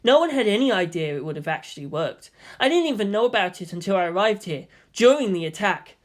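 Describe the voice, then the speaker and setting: A performer voicing an earnest, sorrowful teenage boy pilot in a calm, measured, pleading way. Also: medium pitch, lightly acted. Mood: calm remorse.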